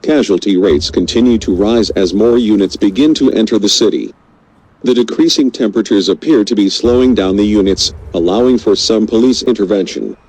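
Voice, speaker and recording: A low-pitched male news anchor, acted by a man for an audio drama. He delivers a scripted crisis bulletin in formal, measured phrases, as a radio station's anchor would. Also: darkish tone, rough recording. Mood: grave, urgent.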